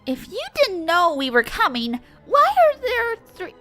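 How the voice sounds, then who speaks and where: A man doing a shrill panicky filly. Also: huge pitch swings, a little slow, full character voice. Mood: accusing. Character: spoiled young filly pony girl. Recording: distant mic with music bed.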